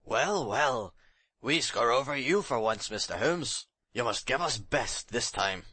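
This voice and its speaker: A man's voice: medium pitch, animated, unhurried, bright, forceful tone, no echo. A man playing an eager, proud police detective, blunt and self-satisfied, in an audiobook story. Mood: triumphant.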